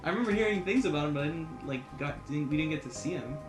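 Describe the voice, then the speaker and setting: A man's voice: a little high, expressive, fast. A man in his twenties co-hosting a podcast, chatting casually with plenty of slang and swearing. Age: 20s. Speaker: podcast co-host. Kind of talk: casual chat about films and pop culture. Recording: echoey room, music behind. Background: music.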